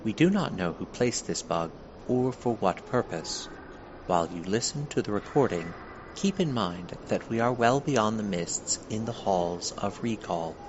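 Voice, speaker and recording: Dull recording, mid-pitched actor as male official, formal, measured, scripted exhibit narration, noisy background. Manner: measured, formal, matter-of-fact. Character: formal official presenting evidence to listeners. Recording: dull sound with steady background noise.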